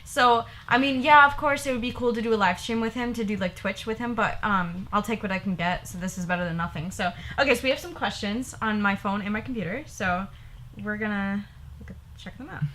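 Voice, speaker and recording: A woman's voice: medium pitch, a little fast, fairly bright tone, slight background noise. A young woman hosting a casual couple Q&A vlog, giggling often and rambling, repeating herself as she talks. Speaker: vlogger.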